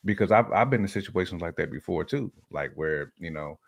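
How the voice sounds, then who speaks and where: Low-voiced American man, slangy casual chat. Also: brisk, vocal fry. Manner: animated storytelling with slang and hypothetical examples. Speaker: talk show host.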